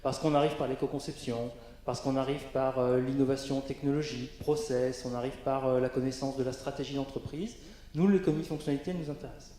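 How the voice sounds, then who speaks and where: A man's voice: medium pitch, fairly even intonation, fairly quick, mellow. A man presenting on a conference panel, hesitant, with frequent fillers and restarts. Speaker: panel speaker. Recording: very echoey conference hall.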